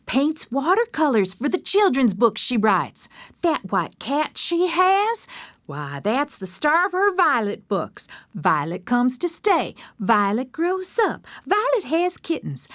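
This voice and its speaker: A woman's voice: high-pitched, a bit bright, no echo, pitched above the performer's own voice, heavily acted. A woman voicing a chatty, eager gossip who loves passing on news.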